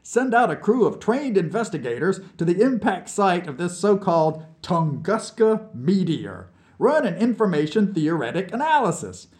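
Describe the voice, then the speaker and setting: A man's voice: sits high, voice pushed up. A man voicing a resentful, exasperated Soviet man in an audiobook, speaking with emphatic indignation. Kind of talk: dramatized audiobook dialogue.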